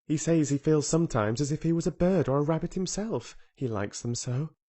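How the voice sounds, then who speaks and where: A man's voice: a little high, fairly quick, warm tone, pitched above the performer's own voice, close to an everyday voice. A man putting on a blunt, contrary little girl. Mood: eager. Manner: earnest, headstrong, eager.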